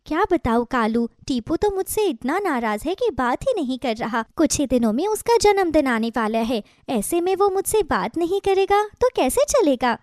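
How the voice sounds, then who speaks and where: A woman voicing a caring, gentle mother bird in a children's cartoon, expressive and motherly. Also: high-pitched, normal speed, few pauses, no echo, studio quality. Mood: sad, worried. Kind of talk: Hindi children's cartoon dialogue.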